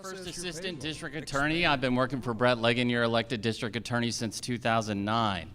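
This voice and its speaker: A man's voice: medium pitch, fairly quick, a bit bright, clear, resonant tone, soft background music. A man speaking as a judicial candidate, giving a campaign speech at a live forum. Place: theater, live event.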